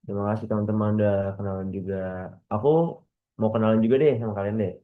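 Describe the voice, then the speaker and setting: A man in his late twenties leading a practice coaching session over a video call, speaking gently and informally with frequent hesitations. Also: lowish voice, level tone, rapid-fire, narrow, tinny audio. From Bekasi, Indonesia. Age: 20s. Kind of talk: role-play coaching session.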